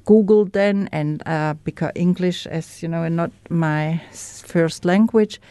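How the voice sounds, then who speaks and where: A woman with a German accent, a native German speaker, candidly sharing her recovery story as a guest in an interview, pausing to search for words. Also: on the low side, warm tone, smooth, no room sound. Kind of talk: personal testimony in an interview.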